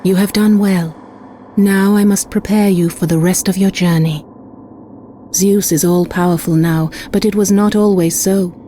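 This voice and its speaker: A woman voicing a solemn, wise goddess in a video game, telling myths in a measured, portentous way. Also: mid-range voice, fairly even intonation, mellow, slight background noise, natural voice. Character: solemn, wise goddess guiding a mortal hero. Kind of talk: scripted dramatic narration of myth. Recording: music behind the voice.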